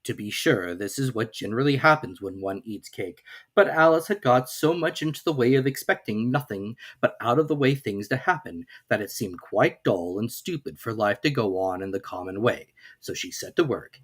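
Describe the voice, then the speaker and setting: A storybook narrator with a lively, widely moving voice reads a children's classic. The delivery is theatrical and expressive, with an occasional small stumble over a word. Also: medium pitch, normal speed, hardly any pauses, husky.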